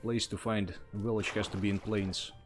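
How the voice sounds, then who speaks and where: Russian-accented male streamer, lowish voice, laid-back running commentary, quiet music behind. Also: normal speed. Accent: Russian, mixed with other influences. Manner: relaxed, thinks aloud while playing and thanks viewers. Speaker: livestreamer. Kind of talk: casual gameplay livestream chat.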